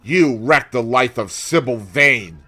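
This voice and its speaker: A man voicing a grim, vengeful sailor in an audiobook, growling threats in a forceful, menacing way. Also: medium pitch, lively intonation, takes it slowly, heavily acted.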